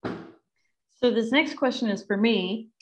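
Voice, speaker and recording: A woman's voice: on the high side, takes it slowly, slight background noise, rough recording. A woman in her thirties chatting casually as she hosts and reads questions on a group video call. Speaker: host reading audience questions. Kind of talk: casual chat.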